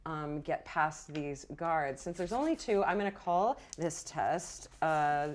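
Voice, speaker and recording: A woman with a slightly low voice runs a tabletop roleplaying game. She talks conversationally, improvising the scene as she goes, and encourages players while walking them through the rules. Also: slow. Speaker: game master.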